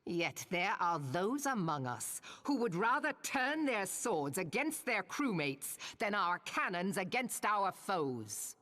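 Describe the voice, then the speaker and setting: An actress playing a stern, commanding pirate admiral, delivering a solemn rallying speech in archaic nautical language for a video game. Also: female voice, on the low side, fairly bright tone, exaggerated voice. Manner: solemn, oratorical, measured. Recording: long echoing hall reverb.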